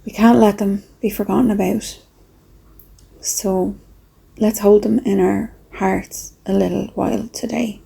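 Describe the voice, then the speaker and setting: Slow, creaky-voiced woman guiding meditation. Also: mid-range voice, flat delivery, rough recording. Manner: slow, soft, reflective, with pauses. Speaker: meditation guide. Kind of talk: gentle guided meditation, reflective talk.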